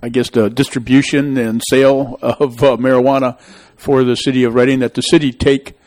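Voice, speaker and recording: A man's voice: medium pitch, little pitch movement, brisk, a little mellow, rough recording. A middle-aged man hosting a public meeting, rambling and emphatic as he gives his opinion. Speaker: local official hosting a public meeting show. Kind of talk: town meeting discussion, opinionated.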